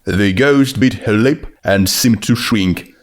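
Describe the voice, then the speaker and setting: A man's voice: on the low side, slow. A man reading a story aloud as narrator, in scripted narration. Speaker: narrator reading fan fiction.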